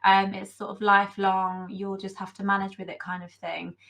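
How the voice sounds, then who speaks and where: Young woman guest, hoarse and gravelly from a cold; chatty, filler-heavy video call talk. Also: medium pitch, completely flat delivery, moderate pace, a bit bright. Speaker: nutritional therapist guest. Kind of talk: casual video call interview.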